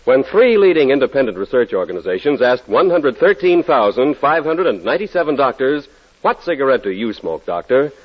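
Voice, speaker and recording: A man giving a scripted commercial read in 1940s radio style, with a husky voice. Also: mid-range voice, very animated, brisk. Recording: muffled vintage radio broadcast.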